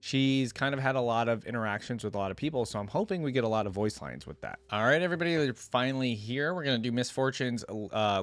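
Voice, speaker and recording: A man's voice: mid-range voice, dry recording. A man giving casual reaction commentary as a video host, reading bits aloud and musing on them conversationally. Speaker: host of a game lore reaction channel.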